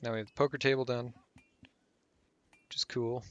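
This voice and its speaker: A man's voice: mid-range voice, flat delivery, normal speed, lo-fi sound. A man talking casually over a strategy game guide while losing his voice, rambling and trailing off with fillers. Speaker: gameplay guide host.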